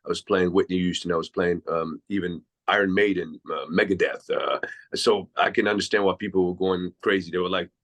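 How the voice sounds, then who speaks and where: Man from switzerland, deep low voice, reflective, rambling podcast guest. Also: a little fast, a bit bright. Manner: reflective, long winding answers. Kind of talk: casual interview storytelling.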